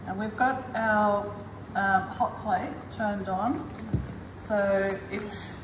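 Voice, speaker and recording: A woman's voice: medium pitch, steady tone, drawn-out words, background noise. An older woman teaching a cooking demonstration in a school kitchen, cheerfully explaining each step. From Australia. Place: large school kitchen, indoors. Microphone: camera at a distance. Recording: echoey kitchen from far off.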